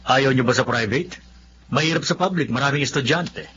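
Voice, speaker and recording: A man's voice: mid-range voice, a little fast, noisy background, natural voice. A man playing a confident, blunt older brother back from working abroad, in a Filipino radio drama. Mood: concerned, persuasive. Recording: slightly muffled radio drama audio.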